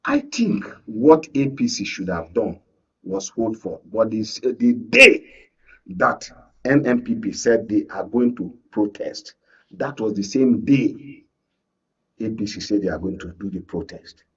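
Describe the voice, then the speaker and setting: Male Nigerian political analyst, husky voice, insistent panel debater, dull off-mic studio sound. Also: lowish voice, sing-song, measured pace, distant mic. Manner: insistent, holds the floor, repeats phrases. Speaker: panel guest, media analyst. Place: radio and TV studio.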